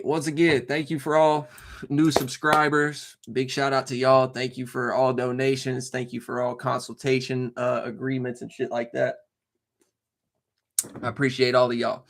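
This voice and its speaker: A man hosts his own channel in a relaxed, cursing monologue. He keeps circling back with filler phrases as he explains himself. His voice sits at an ordinary middle pitch. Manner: loose and profane, with filler phrases. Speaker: host and tarot reader. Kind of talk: casual talk monologue to viewers.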